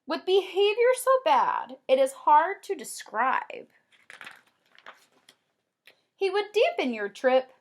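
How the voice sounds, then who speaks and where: A woman kindergarten teacher doing an expressive read-aloud for young children, with drawn-out emphasis and simple explanations. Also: extremely high pitch. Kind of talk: animated children's read-aloud with comprehension questions.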